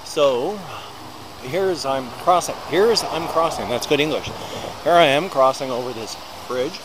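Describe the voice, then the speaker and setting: Rambling American man walking by a loud road. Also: fairly high pitch, unhurried. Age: middle-aged. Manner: chatty, rambling, self-deprecating narration while walking. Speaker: vlogger. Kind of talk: casual walking vlog. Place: outdoors beside a busy road in a small town. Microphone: small handheld recorder held close to the mouth. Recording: outdoor traffic noise. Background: loud passing traffic.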